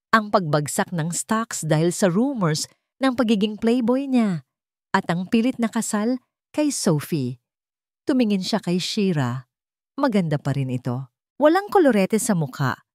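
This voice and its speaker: A woman narrating a scripted dramatic story with an expressive, theatrical delivery. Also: medium pitch, a little slow, no echo, clean studio sound.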